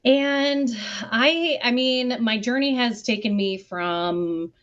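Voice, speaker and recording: A woman's voice: on the high side, slow. A woman from Southern California chatting informally and enthusiastically as a guest on an online panel, heard over lo-fi call audio. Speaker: panel guest, performer and producer. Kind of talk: casual chat on an online panel.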